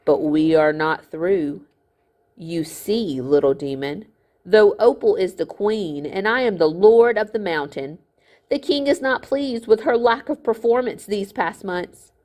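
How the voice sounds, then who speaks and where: A woman's voice: medium pitch, unhurried, darkish tone, lightly acted. A woman voicing a greedy, fat older miller in a storybook, a smug villain with a falsely genteel, gloating manner.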